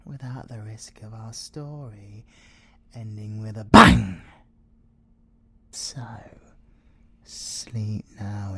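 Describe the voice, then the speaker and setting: A British man playing a possessive, scheming siren in an ASMR audio roleplay, seductive and theatrical, with drawn-out words and whispers. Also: on the low side, a bit bright, breathy edge, heavily acted. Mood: seductive, sinister. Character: possessive, scheming male siren, obsessive lover and villain.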